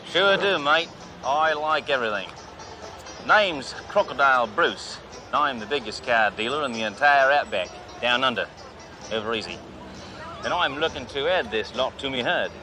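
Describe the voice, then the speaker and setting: A man's voice: medium pitch, expressive, moderate pace, pushed and bright, faint music behind, pitched above the performer's own voice, poor audio. An actor playing a brash, boastful rich male outback car dealer with a put-on Australian accent and a swaggering, folksy way of bragging. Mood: blustering.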